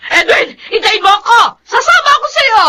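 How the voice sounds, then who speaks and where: An anguished Filipino mother in a radio melodrama, devoted and emotional. Her voice is extremely high and sharp, swooping up and down. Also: big pitch swings, normal speed, exaggerated voice. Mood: tearful, defiant. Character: anguished, devoted middle-aged mother and doctor. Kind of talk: melodramatic radio drama acting.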